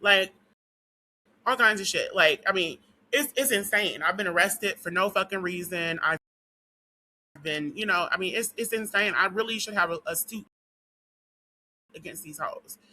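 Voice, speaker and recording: Woman vlogger, hoarse, losing her voice; very bright, tense tone; rambling, profane delivery. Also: mid-range voice, normal speed, pauses often, slight background noise, poor audio. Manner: rambling and profane, with frequent "um" and "what do you call it". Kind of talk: casual rant to camera.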